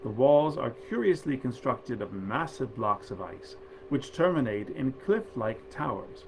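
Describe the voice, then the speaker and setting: A man's voice: medium pitch, sing-song, a little slow, vocal fry. Man reading old storybook tales, noisy background. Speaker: actor reading stories aloud. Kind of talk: scripted storytelling of 19th-century fairy tales.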